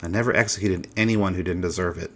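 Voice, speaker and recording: An actor playing a candid, morally conflicted man in a video game, speaking in a measured, confessional way. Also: low-pitched, fairly even intonation, lightly acted. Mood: reflective, frank. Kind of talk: video game character dialogue.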